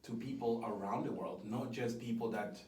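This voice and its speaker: A man's voice: mid-range voice, normal speed, darkish tone. A man in casual conversation, explaining his ideas in an earnest way. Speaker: interviewer and creator of a brand project. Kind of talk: casual chat. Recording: roomy, distant mic, faint background noise.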